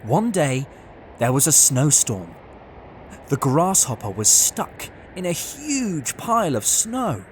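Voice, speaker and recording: Slow, very animated children's storyteller. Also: mid-range voice, a little hiss or hum. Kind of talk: scripted children's story narration.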